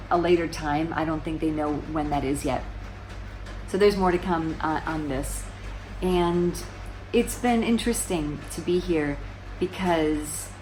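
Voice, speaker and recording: A woman's voice: low voice, darkish tone, slight background noise, rough recording. A woman in her forties explaining current events to camera in a casual, conversational way, often restarting sentences and asking rhetorical questions. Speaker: vlogger. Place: small room indoors, seated on a sofa.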